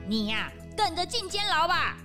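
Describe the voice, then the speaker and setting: A woman voicing an eager young detective sidekick in a children's audio drama, excited and full of questions. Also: very high voice, normal speed, over music.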